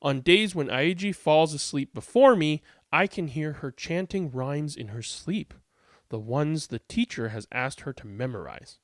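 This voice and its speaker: A man's voice: on the high side, animated, measured pace, no echo, studio quality. A man hosting a read-aloud, reading picture books expressively and commenting informally. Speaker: read-aloud host and storyteller.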